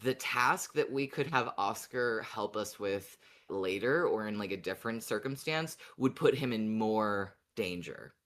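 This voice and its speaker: Casting: female co-host, extremely low pitch for a woman, casual conversational delivery, teasing yet reassuring. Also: expressive, a little slow, few pauses, a bit bright. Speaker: podcast co-host. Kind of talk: casual podcast chat.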